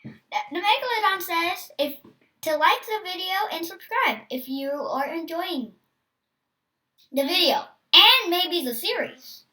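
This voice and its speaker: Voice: extremely high pitch, animated, unhurried, pushed and bright, recorded from a distance. A nine-year-old child hosting a read-aloud, with casual asides and some stumbles on hard words. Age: child, nine years old. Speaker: host reading a book aloud.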